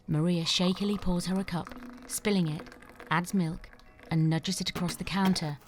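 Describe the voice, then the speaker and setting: A woman reads the stage directions of an audio drama with a notably low, even voice. Her reading is steady and measured. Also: soft background music. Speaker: narrator.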